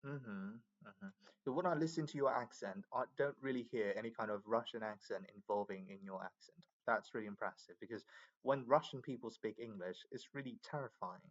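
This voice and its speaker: Guy chatting over a video call, lowish voice, kinda muffled audio, lots of "you know". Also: a little fast. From Myanmar. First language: Burmese. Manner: talkative, many fillers. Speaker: English learner. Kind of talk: casual video chat.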